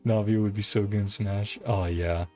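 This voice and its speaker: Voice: low-pitched, steady tone, normal speed, a little mellow. A streamer giving casual, chatty commentary while playing a game, sounding easily amused. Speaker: livestream host playing a game. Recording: game music behind the voice. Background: video game music.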